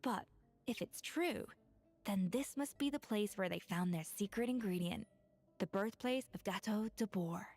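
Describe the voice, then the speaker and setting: A woman voicing an inquisitive, matter-of-fact young female chef in a video game, crisp and analytical. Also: mid-range voice, measured pace, a little mellow, faint music behind. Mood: thoughtful.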